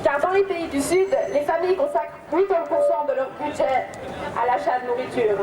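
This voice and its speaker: A woman's voice: sits high, fairly even intonation, normal speed. A young woman giving a prepared political speech as a youth campaign speaker. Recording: echoey, distant mic, crowd noise.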